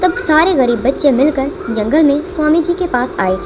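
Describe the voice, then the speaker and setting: Female storybook narrator, high register, scripted read over a music bed. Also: animated, normal speed. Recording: music behind the voice.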